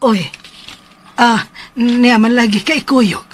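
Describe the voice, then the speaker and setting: A woman playing a fretful older grandmother in a radio drama, with a husky voice and a Cebuano Filipino accent. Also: a little high, slow. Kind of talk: Philippine radio drama acting.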